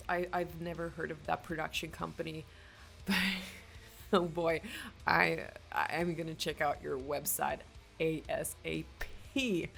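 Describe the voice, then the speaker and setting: A woman's voice: low voice, vocal fry, fairly bright tone. A woman from Finland with a Finland-Swedish accent and Swedish as her first language, gushing with enthusiasm as she hosts casual reaction commentary. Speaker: reaction video host.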